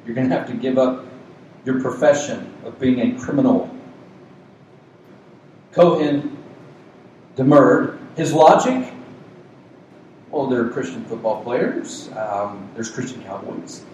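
A man's voice: mid-range voice, animated, takes it slowly, pauses often, darkish tone, far from the mic. Soothing man preaching in an echoey hall. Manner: conversational, joking preacher. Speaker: pastor. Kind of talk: sermon. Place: community center meeting room with a cooling unit. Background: faint hum of a cooling unit.

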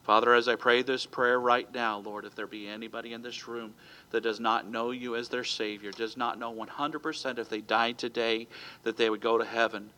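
A man's voice: medium pitch, fairly even intonation, moderate pace, bright, forceful tone, poor audio. A man from America preaching a sermon as pastor in a church, earnest, with some restarts and stumbles, moving into prayer. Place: church sanctuary.

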